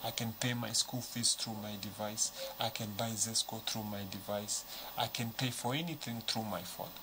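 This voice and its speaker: A man with a Zambian accent speaking as a panel guest, measured and explanatory, walking through examples. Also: fairly low pitch, fairly even intonation. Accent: Zambian English. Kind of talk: studio panel discussion. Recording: noisy studio recording.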